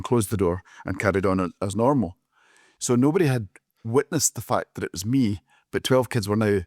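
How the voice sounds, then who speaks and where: A man's voice: on the low side, no room sound, clean studio sound. A man with a Scottish accent co-hosting a podcast, telling jovial stories about his classroom pranks. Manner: loud, jovial storyteller. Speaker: podcast co-host, former teacher. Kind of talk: casual chat, storytelling.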